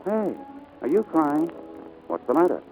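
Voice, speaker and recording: A man's voice: a little high, big pitch swings, a little slow, narrow, tinny audio. An actor playing a breezy, flirtatious, self-assured male pilot in 1940s radio drama style. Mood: teasing. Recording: old 1940s radio broadcast, music behind.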